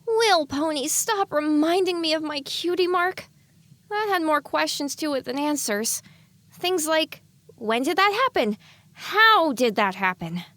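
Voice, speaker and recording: A woman's voice: high voice, lively intonation, measured pace, fairly bright tone, no echo, voice pushed up. A woman voicing a cute, naive young pony in an audio drama, with an earnest, flustered manner. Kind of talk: audio drama character acting.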